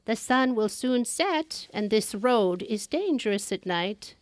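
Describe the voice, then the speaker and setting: Folktale crone acted by a female storyteller: mysterious, kindly, slow foreboding delivery, dry sound. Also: fairly high pitch, expressive, dry recording. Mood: mysterious, cautioning. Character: mysterious kindly old woman giving warnings.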